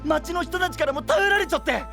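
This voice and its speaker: An actor playing a kind-hearted, earnest boyish young knight with a Kyushu dialect in an anime-style audio drama. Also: male voice, very high voice, fast talker, gravelly, pushed and bright, soft background music, heavily acted. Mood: pleading. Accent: Kyushu Japanese dialect.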